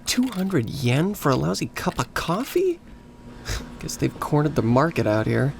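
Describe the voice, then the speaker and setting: An actor with an American accent playing a weary, grumbling English teacher on vacation in a radio play, speaking somewhat hesitantly. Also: male voice, a little high, very animated, a little slow, vocal fry, a little hiss or hum, exaggerated voice.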